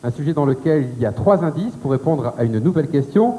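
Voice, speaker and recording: High-voiced man hosting a TV game show. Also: lively intonation, warm tone. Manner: upbeat, chatty, questions contestants briskly. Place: TV studio set. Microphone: handheld mic. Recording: reverberant studio, faint tape hiss.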